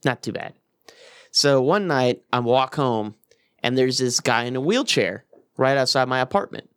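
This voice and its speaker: A man's voice: mid-range voice, expressive, moderate pace, a bit bright, clean tone. A man, telling stories in casual banter with friends, swearing and laughing often. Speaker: podcast co-host.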